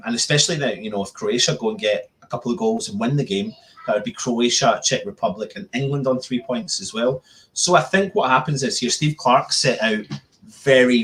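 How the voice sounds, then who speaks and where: A man's voice: mid-range voice, a bit bright, rough recording. Man chatting football live. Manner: conversational, repeats words and phrases as he talks. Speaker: football fan channel host. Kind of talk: live-stream football chat.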